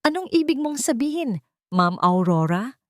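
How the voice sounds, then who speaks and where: Lady narrator doing a humbled filipino ex-husband, desperate and fawning, slow pleading words, dry audio drama sound. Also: sits high, very animated, dry recording, voice pushed up. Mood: wary.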